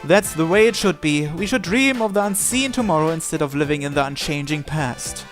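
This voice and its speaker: A man's voice: on the high side, lively intonation, fairly quick. A man reading visual novel text aloud as a narrator, with game music behind him.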